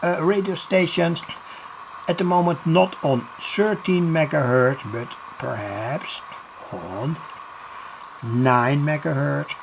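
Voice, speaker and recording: A man giving an unscripted hobby radio demonstration, halting and thinking aloud as he works. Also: on the high side, vocal fry, background noise.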